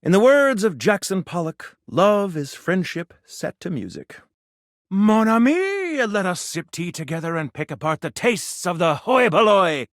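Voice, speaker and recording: A man's voice: sits high, very animated, a little slow, no echo, studio quality. An actor playing a pompous, flamboyant male mascot with grandiose, theatrical diction, a snobbish connoisseur in a video game. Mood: musing, snobbish. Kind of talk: video game character acting.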